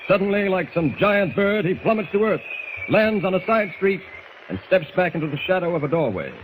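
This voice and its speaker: A man's voice: high-pitched, very animated, fairly quick, phone-quality sound. A man narrating an adventure serial as a 1940s radio announcer, urgent, grandiose and theatrical. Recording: vintage radio recording, faint noise.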